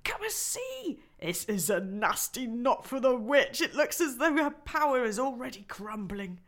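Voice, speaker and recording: A woman voicing an excitable male beaver who shouts with delight in a high, squeaky voice, reading a storybook aloud. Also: measured pace, exaggerated voice. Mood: excited shouting. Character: excitable male talking beaver, small and overjoyed.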